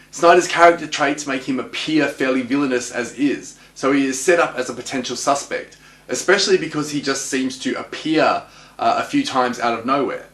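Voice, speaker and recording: A man's voice: medium pitch, fairly bright tone. Man giving wry commentary in an echoey room. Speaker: host of a TV episode analysis show. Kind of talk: commentary and episode recap. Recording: roomy, echoing voice recording.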